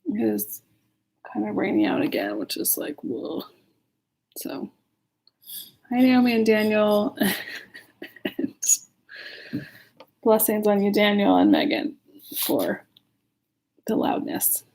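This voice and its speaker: A woman's voice: mid-range voice, big pitch swings, takes it slowly, gravelly, pauses often, a little mellow, rough recording. A woman casually reading a children's story at home in a chatty, giggly manner, often breaking off to talk to her listeners.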